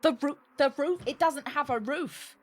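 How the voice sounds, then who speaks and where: A woman's voice: high-pitched, normal speed. A British woman livestreaming a game, chatting casually and jokily with sarcastic asides and some swearing. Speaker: game streamer. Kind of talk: casual livestream chat while playing a game.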